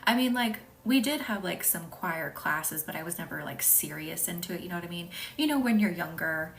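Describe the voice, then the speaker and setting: A woman from the American South hosting a live reiki session, talking softly and often in a half-whisper, hesitant and full of fillers as she answers viewers' comments. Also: fairly low pitch, animated, fairly quick, vocal fry, a little hiss or hum. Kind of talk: live-stream energy healing with viewer chat. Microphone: phone live stream. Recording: distant mic with music behind.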